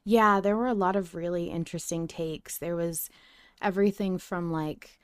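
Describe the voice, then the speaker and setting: Clear, fairly low-voiced woman podcaster. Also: steady tone, normal speed, no room sound, clean studio sound. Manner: informal, peppered with "like". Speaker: podcast co-host. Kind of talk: casual podcast chat.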